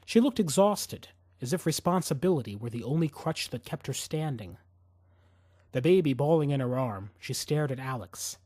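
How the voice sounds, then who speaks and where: A man reading scripted fiction as an audiobook narrator, in an expressive, suspenseful storytelling manner. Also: mid-range voice, normal speed, no room sound, studio quality.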